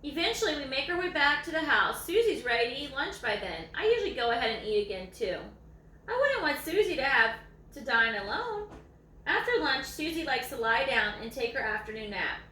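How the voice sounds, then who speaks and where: A woman's voice: high-pitched, big pitch swings, fairly quick, sharp tone, roomy sound, far from the mic, a little hiss or hum, heavily acted. A woman voicing a lazy, self-important old male ranch hound dog from a storybook, in a folksy, self-satisfied way. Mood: matter-of-fact.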